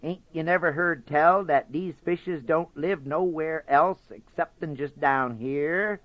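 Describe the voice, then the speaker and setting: Guy doing a sly boastful rabbit, higher voice, talks folksy n chummy, dull dry lo-fi sound. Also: slow, no echo.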